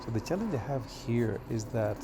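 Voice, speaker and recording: A middle-aged man from Kenya chatting casually while doing yard work outdoors, with relaxed pauses and fillers. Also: mid-range voice, noisy background. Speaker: vlogger.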